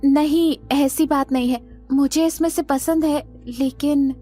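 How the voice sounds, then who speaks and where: A woman playing a family shopper on a budget in audio drama dialogue, earnest and expressive, mixing in English words. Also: high voice, slow, faint music behind. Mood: hesitant, polite. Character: women of a middle-class family out shopping on a budget.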